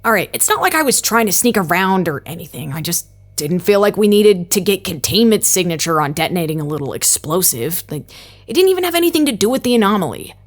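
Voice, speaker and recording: A woman's voice: on the low side, big pitch swings, fast talker. A woman playing a headstrong, stressed researcher in an audio drama, sarcastic, hesitant and exasperated. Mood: frustrated, rueful. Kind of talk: naturalistic audio drama acting.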